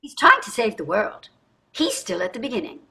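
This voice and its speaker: A wealthy older wife in a stage drama, played by an actress. Her voice is bright and cutting, mid-pitched for a woman. She is weary and sardonic, and lands her points with dramatic wryness. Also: very animated, moderate pace, vocal fry, full character voice. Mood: defensive. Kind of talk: staged play reading.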